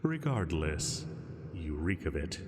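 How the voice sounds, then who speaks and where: A man voicing an ancient dragon in an audio roleplay, menacing and smug, taunting his prey with deliberate, mock-pitying words. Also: low voice, sing-song, a little mellow, full character voice. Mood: predatory, taunting. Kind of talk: slow, intimate scripted roleplay monologue. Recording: cavernous long reverb.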